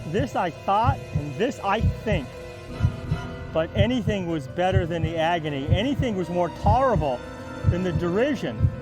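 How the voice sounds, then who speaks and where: A man's voice: high voice, lively intonation, music in the background, low-quality recording. A man playing a nervous, guilt-maddened murderer in a melodramatic, emphatic reading of a gothic horror story. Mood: frantic horror. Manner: melodramatic, deliberate, emphatic delivery with repeated words. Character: nervous, guilt-maddened murderer narrating his own crime.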